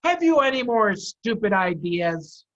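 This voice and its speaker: A man voicing a tiny pot-bellied farmer villain in a storybook, shouting and belligerent. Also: high-pitched, sing-song, unhurried, lo-fi sound, slight background noise. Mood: aggressive, mocking.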